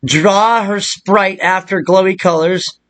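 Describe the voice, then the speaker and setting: A man with a notably high-pitched voice walks through a game editing tutorial. He talks casually and loosely, thinking aloud as he goes, with an irreverent, rambling delivery. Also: fairly even intonation, slow, fairly bright tone, dry recording. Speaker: tutorial presenter. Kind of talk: casual, improvised screen-share game modding walkthrough.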